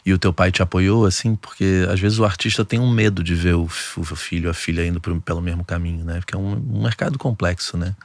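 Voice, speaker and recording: A man's voice: low voice, steady tone, brisk, hardly any pauses, no room sound. A man hosting a casual interview, asking questions and prompting his guest with affirmations. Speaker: interview host.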